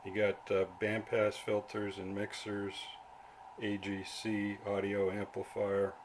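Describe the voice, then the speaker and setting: A man giving a casual, off-the-cuff show-and-tell as a radio hobbyist, pausing mid-phrase as he goes. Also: fairly low pitch. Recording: clearly noisy homemade video audio.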